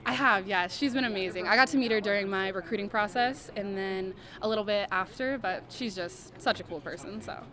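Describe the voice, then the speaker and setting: A woman's voice: medium pitch, a little fast, few pauses, a crowd in the background. A young woman, a college basketball player, answering reporters' questions in an upbeat, chatty way, with fillers like "I mean" and "like". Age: young adult. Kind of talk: media interview answers.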